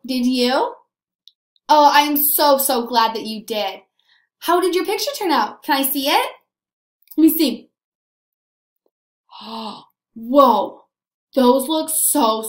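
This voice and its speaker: A young woman teaching small children, with exaggerated enthusiasm, emphatic drawn-out words and frequent questions. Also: high-pitched. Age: young adult. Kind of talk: animated lesson for young children. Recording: roomy, echoing indoor recording.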